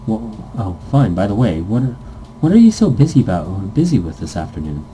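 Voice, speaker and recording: Low, very mellow man reading aloud. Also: very animated, brisk, a little hiss or hum, low-quality recording. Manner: stumbles over lines, adds goofy nonsense sounds. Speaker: let's player reading a visual novel aloud. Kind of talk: casual game read-aloud with asides.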